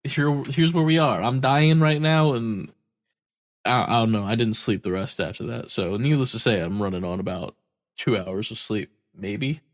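A man's voice: fairly low pitch, moderate pace. A man co-hosting a podcast in casual chat, stuffy-nosed from a cold, tired and rambling, swearing casually. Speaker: podcast co-host.

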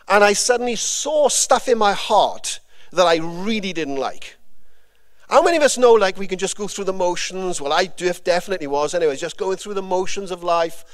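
A Welsh man giving a conversational sermon with a personal testimony, rambling and informal with frequent fillers and restarts. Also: sits high. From Wales. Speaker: preacher.

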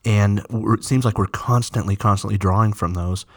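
A man's voice: lowish voice, steady tone, normal speed. A man co-hosting a casual podcast, friendly and explanatory, laughing easily. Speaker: podcast co-host.

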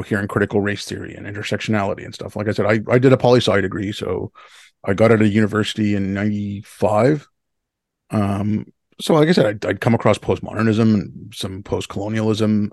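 A man in his fifties talks through his own story in an informal interview. His voice sits fairly low with plenty of gravelly fry. He is conversational and often restarts a thought, recorded very dry and close. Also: darkish tone, no room sound. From Canada. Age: 50s. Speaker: interview guest. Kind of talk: casual personal storytelling.

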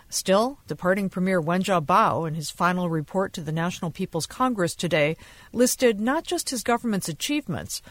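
Woman foreign correspondent reading a radio news story, mid pitch, very dry recording. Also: dry recording. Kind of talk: scripted radio news report narration.

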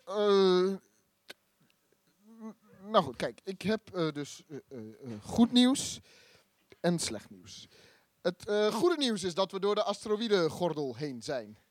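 A man's voice: high-pitched, sing-song, slow, pauses often, full character voice. A man playing a stubborn, bumbling starship captain in a comedic radio play, blustering and stammering. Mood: hesitant, evasive. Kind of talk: live comedic sci-fi radio play acting.

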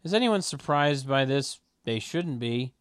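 A man narrating a script's stage directions at a table read, with deadpan, mocking asides. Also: medium pitch, a little slow, clean tone.

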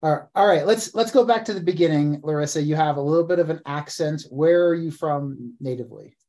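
A man's voice: fairly high pitch, fairly quick, mellow. A man in his forties hosting a casual interview, friendly, often repeating words as he starts sentences. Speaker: podcast host.

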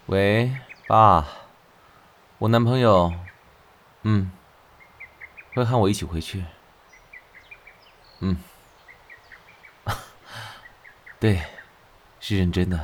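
Man playing a slow, low-voiced romantic young stylist. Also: slight background noise. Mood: earnest. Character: devoted, tender young male stylist in love. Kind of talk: romantic audio drama acting.

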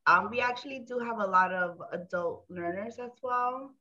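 A woman's voice: mid-range voice, normal speed, bright, forceful tone. A woman from the Bronx, a podcast guest who talks in a chatty, reflective way and laughs often. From the Bronx, New York. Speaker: academic advisor. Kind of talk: casual podcast chat. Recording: dull lo-fi sound.

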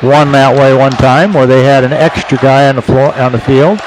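A man's voice: medium pitch, fairly even intonation, moderate pace. A man giving radio color commentary on a basketball game as a coach, talking in a chatty, folksy way with reflective asides. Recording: live gym broadcast with crowd noise.

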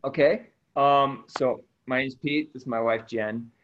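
Middle-aged man, mid-pitched, conversational storyteller as interview guest, slightly dull lo-fi recording. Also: steady tone, a little slow, fairly bright tone, a little hiss or hum. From Ecuador, raised by missionary parents. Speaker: interview guest, missionary aid worker.

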